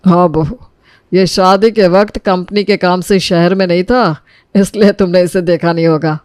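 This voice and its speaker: A man's voice: high voice, steady tone, normal speed, darkish tone, smooth, dry recording, close to an everyday voice. A man voicing a genial older father-in-law in a cartoon family story. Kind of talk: cartoon moral-story dialogue.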